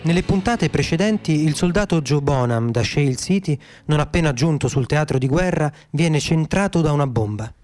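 A man's voice: a little high, animated, moderate pace, few pauses, darkish tone, no echo. A man announcing a radio drama with a theatrical, scripted serial-style narration. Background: music.

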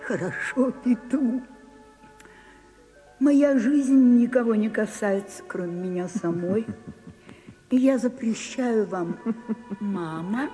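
An actress playing an aging, imperious stage star in a radio play, with grand, theatrical, coaxing delivery. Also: female voice, fairly high pitch, slow, pauses often, warm tone, faint music behind, rough recording. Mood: defiant. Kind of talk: radio drama acting.

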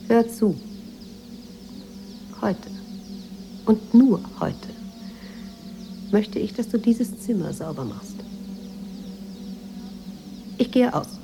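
A woman's voice: mid-range voice, animated, very slow, gravelly, pauses often, mellow, a little hiss or hum, exaggerated voice. An actress in a radio drama playing a weary, imperious woman writer who speaks in a curt, brooding way. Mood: irritated, commanding. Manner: curt, haughty, introspective. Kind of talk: 1980s German radio drama acting.

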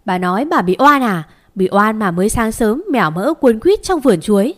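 A woman's voice: mid-range voice, a little fast, close to an everyday voice. Woman voicing a furious stern husband. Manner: shouting, interrogating. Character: stern angry wealthy older husband, commanding.

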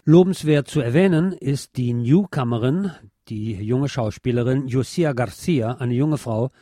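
A man's voice: medium pitch, unhurried, mellow, no echo, studio quality. A man presenting a film review as a show host, partly scripted.

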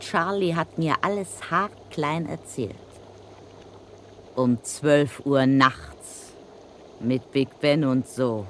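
A woman's voice: low-pitched, slow, slight background noise. Sex: woman. Figure: a brash streetwise blackmailing widow. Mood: triumphant. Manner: cocky, slangy, mocking. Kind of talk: vintage radio play acting.

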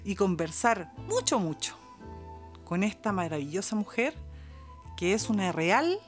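A woman's voice: medium pitch, very animated, unhurried. A Chilean woman narrating a scripted podcast story in a dramatic way, lingering on her phrases. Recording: music behind the voice. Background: music.

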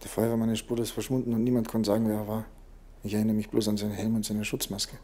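An actor playing a laconic, wry wounded rocket technician in a naturalistic radio drama. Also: male voice, fairly low pitch, completely flat delivery, fast talker, warm tone, slight background noise, lightly acted. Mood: suspicious. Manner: offhand, wry, colloquial.

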